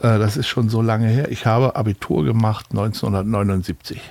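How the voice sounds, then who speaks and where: A man's voice: on the low side, fairly even intonation, brisk, vocal fry, darkish tone, slight background noise. A man in his sixties from Berlin, a guest telling chatty stories in an interview, with many fillers and restarts. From Berlin, Germany. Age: 60s. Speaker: arena manager, guest. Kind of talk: relaxed interview talk.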